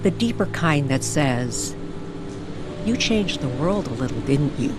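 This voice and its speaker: A woman narrating a scripted story in an old-time radio style, with deliberate, hushed storytelling phrasing. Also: sits low, animated, very noisy. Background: music bed.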